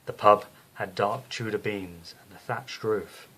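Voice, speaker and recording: A man narrating a scripted bedtime story as the storyteller, in a measured, descriptive way. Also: fairly low pitch, pushed and bright, slightly rough.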